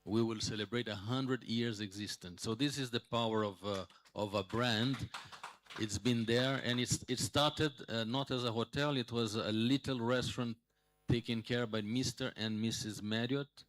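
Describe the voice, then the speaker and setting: A man in his sixties giving a conference talk as a hotel manager, pausing often with fillers and restarts. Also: mid-range voice, fairly even intonation, a bit bright. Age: 60s. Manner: hesitant, many fillers and restarts.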